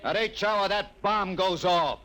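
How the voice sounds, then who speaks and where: A man's voice: sits high, measured pace, over loud music. A man playing a stern, impatient army general who gives clipped orders, in an old radio drama. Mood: commanding. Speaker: general commanding a bomb test. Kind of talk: 1950s radio drama acting. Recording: old radio recording with noisy background.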